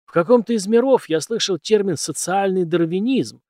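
A man's voice: high voice, normal speed, dark tone, no room sound, pitched above the performer's own voice, close to an everyday voice. Male narrator as stern old mentor, cynical, commanding, emphatic lecturing, audiobook dialogue, close dry sound. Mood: scornful, forceful. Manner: emphatic, lecturing, with rhetorical questions.

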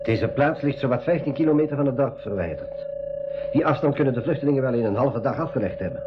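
An actor playing a practical, matter-of-fact member of an expedition in a school radio drama. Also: male voice, medium pitch, animated, fast talker, lo-fi sound, natural voice. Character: level-headed, practical man on a rescue expedition. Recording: band-limited radio drama, music behind.

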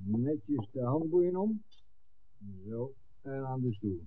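An actor playing a level-headed, practical ally in a 1960s radio drama, reasoning in a matter-of-fact way. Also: male voice, medium pitch, expressive, slow, very noisy. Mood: brisk, practical. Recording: tinny, band-limited old radio recording.

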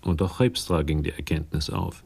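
An actor as the composed narrator of a detective radio drama, reading scripted prose. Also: male voice, a bass voice, moderate pace, creaky, darkish tone, breathy edge, exaggerated voice. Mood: calm, storytelling.

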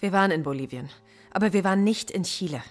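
A woman's voice: mid-range voice, fast talker, darkish tone, husky, faint music behind, natural voice. Guarded, defiant woman professor, played by an actress. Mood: indignant. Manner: terse, controlled, firmly resistant. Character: guarded, defiant female philosophy professor under interrogation. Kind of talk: naturalistic German radio play acting.

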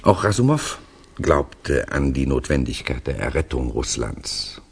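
An actor in a 1960s German radio drama playing a thoughtful narrator who explains things in a measured, conversational way. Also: male voice, very deep voice, very animated, slightly rough, exaggerated voice. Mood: reflective. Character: thoughtful male narrator explaining Russian souls to Westerners.

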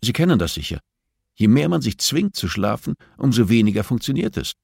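A composed woman therapist in an audiobook, acted out by a man. The voice is lifted slightly and measured, polite yet firm and insistent. It is recorded very dry. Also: medium pitch, sing-song, vocal fry, darkish tone, slightly rough, no room sound, full character voice. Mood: probing, calm. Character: female prison therapist, composed, firm and probing. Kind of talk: audiobook character dialogue.

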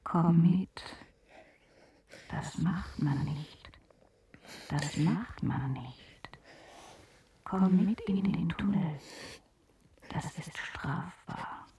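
An eerie, insistent woman coaxes and scolds in a radio play. Her whispery voice is very slow and crackles with fry, repeating itself over faint noise. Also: lowish voice, mellow. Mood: urgent, coaxing. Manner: whispery, repetitive, urging. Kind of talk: German science-fiction radio drama acting.

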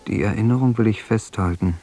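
A brooding, introspective man played by an actor reads a diary in a radio play. His voice is very low and creaky, measured and terse, with faint music behind it. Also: dark tone, close to an everyday voice. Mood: brooding, subdued. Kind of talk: radio drama monologue, diary reading.

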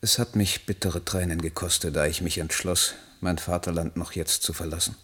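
A brooding young poet declaims in a radio play with a low voice. He is idealistic and embittered, solemn and rhetorical in every phrase. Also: fairly even intonation, normal speed, fairly bright tone, husky. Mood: melancholy resolve.

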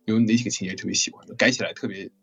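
A woman's voice: a bass voice, fairly even intonation, very fast, slightly rough, soft background music. A woman hosting a casual interview, teasing and repeating words as she feels for her questions. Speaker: interview host.

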